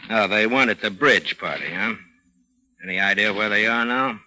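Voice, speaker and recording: An actor playing a dry, needling homicide lieutenant, sardonic and slyly suspicious, in an old-time radio crime drama. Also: male voice, fairly low pitch, moderate pace, bright, forceful tone. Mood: probing, deadpan. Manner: sardonic, probing. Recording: noisy old radio recording.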